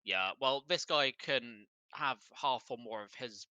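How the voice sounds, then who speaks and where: A man playing a tabletop roleplaying game, chatting casually with dry humour and wordy, deliberate phrasing. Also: mid-range voice, sharp tone, smooth, dry recording, lo-fi sound.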